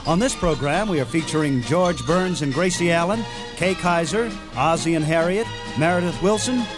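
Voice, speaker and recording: A man with a slightly high voice and a smooth announcer delivery, reading a scripted show opening. Music plays clearly underneath him throughout. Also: normal speed, low-quality recording. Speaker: radio show host. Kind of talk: scripted radio introduction.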